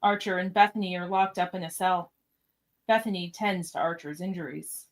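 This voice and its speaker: A woman's voice: fairly low pitch, steady tone, normal speed. Woman narrating radio play stage directions. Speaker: podcast narrator.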